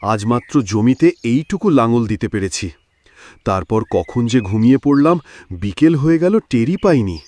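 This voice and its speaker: A man voicing a lazy, sleepy, good-natured farmer in a cartoon moral story, with whiny, drawn-out exclamations. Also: mid-range voice, expressive, dark tone, no room sound, natural voice. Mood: plaintive. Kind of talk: animated moral story voice acting.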